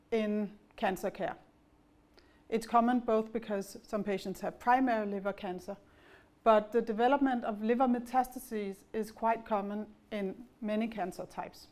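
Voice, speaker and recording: Middle-aged Danish-accented woman, company executive, calm explanatory conference talk at a mid-range pitch. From Denmark. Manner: measured and explanatory, with occasional fillers and restarts. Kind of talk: investor conference presentation.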